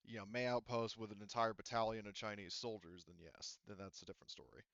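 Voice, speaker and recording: Low smooth guy running a tabletop game, rambly, dry dull audio. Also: quick pace, a bit bright, dry recording. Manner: rambling, heavy with fillers and restarts. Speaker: game master. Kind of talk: running a tabletop roleplaying game over voice chat.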